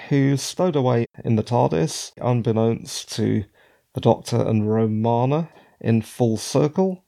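A middle-aged man hosting a podcast with a hoarse, croaky voice, chatting in a digressive, self-deprecating way. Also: medium pitch, mellow. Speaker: podcast host. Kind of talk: casual podcast commentary.

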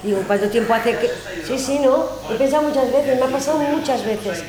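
An echoey recording with clear chatter behind a woman telling a personal story. She is casual, repetitive and emphatic. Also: high voice, fairly quick. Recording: echoey room with background chatter.

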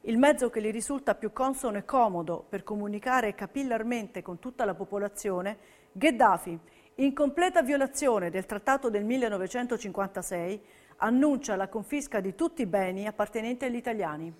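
A woman narrating a documentary theatre piece in a scripted, measured and clearly enunciated delivery. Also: a little high, lively intonation, darkish tone. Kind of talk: scripted dramatic narration, reading testimonies.

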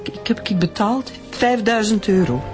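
An actress with a Flemish accent playing an anxious, middle-aged schoolteacher suspected of murder, protesting in a radio drama. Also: female voice, medium pitch, normal speed, music in the background, close to an everyday voice. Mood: distressed, insistent. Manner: agitated, protesting.

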